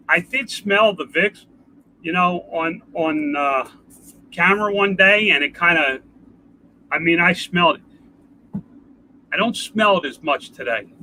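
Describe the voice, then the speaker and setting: An older man with a congested nasal voice, talking in a rambling, halting way in a casual home video update. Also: on the high side, bright, forceful tone, slight background noise, low-quality recording. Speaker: vlogger. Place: outdoors.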